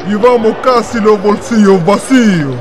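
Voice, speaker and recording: A man playing a dreamy, enthusiastic young writer in a radio drama, with measured literary phrasing. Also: sits high, over music, a crowd in the background. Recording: clear ambient noise behind.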